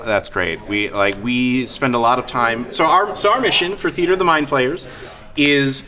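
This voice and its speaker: A man hosting a live show, talking casually and off the cuff, with enthusiasm, halting asides and frequent hesitations. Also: medium pitch, sharp tone. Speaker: host of a live-streamed tabletop roleplaying show. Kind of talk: casual off-the-cuff talk to an audience. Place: live event room at a gaming convention. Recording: live convention room with crowd noise.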